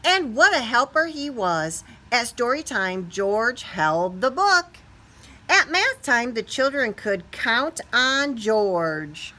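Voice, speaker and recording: Bright-voiced woman reading a storybook slowly. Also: fairly high pitch, a little hiss or hum. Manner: expressive, stretches key words, asks listeners questions. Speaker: storybook reader. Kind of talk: animated children's picture book read-aloud.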